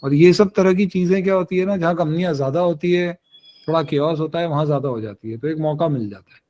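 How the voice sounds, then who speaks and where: An Indian man with a moderately high voice answers questions as a webinar mentor. He explains things in a frank, informal way and slips between Hindi and English as he advises. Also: fast talker, dark tone. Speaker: careers webinar host and mentor. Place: online webinar call.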